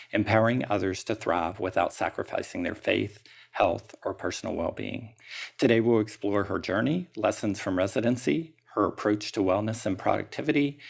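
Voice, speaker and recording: A man hosting a podcast, reading a measured, upbeat introduction and then asking interview questions. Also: on the low side, gravelly. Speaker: podcast host.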